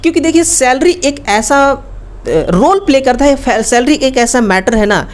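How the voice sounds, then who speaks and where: A woman's voice: high-pitched, lively intonation, unhurried, a little hiss or hum. A woman giving an informal, explanatory online lesson as an exam-prep teacher, talking colloquially with frequent check-in tags.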